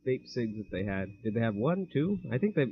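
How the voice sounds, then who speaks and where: A man's voice: mid-range voice, big pitch swings, a little fast, slightly rough. A young man co-hosting a casual podcast, talking in a laid-back, hesitant way with many fillers. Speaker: podcast co-host. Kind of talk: casual chat. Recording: dull lo-fi mic.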